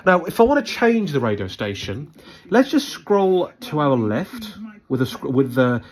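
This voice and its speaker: A man giving a hands-on spoken product review in a hesitant, repetitive, measured but opinionated way. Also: a little high, big pitch swings. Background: background noise and a radio.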